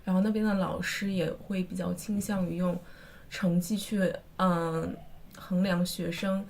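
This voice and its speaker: A young woman from China, an international student, speaking slowly and with consideration about her life abroad. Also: lowish voice, little pitch movement, vocal fry, a little mellow. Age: young adult. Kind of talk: interview testimony.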